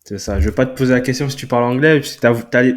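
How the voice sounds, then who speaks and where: A man's voice: medium pitch, rapid-fire. A man from France hosting an interview, asking questions in a friendly, conversational way. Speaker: podcast host. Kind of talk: casual interview chat.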